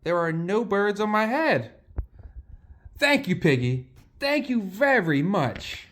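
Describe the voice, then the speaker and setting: A man voicing an anxious, excitable picture-book elephant who exclaims and asks questions in disbelief. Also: high voice, takes it slowly, voice pushed up, heavily acted. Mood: relieved.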